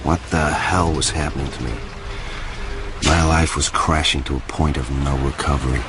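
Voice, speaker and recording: Noir antihero narrator, radio drama: extremely low, brooding, clipped, with a noisy atmospheric bed. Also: male voice, fairly even intonation, normal speed. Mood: bleak, brooding. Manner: terse, brooding, clipped fragments. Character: bleak, weary noir antihero narrating his own downfall.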